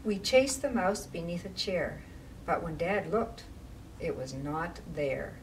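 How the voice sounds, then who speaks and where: A woman reading to young children as a library storytime reader, with measured, expressive phrasing and casual asides. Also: fairly low pitch. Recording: distant mic with faint background noise.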